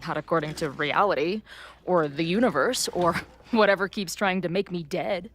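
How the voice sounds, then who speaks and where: A young woman in a story-driven game acted by a woman, speaking in a lowish voice over faint music. She is weary and resigned to her fate, yet stays plain-spoken, with a dry sardonic streak. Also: normal speed, lightly acted. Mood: weary, self-sacrificing. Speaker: video game voice actor. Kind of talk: naturalistic dramatic game dialogue.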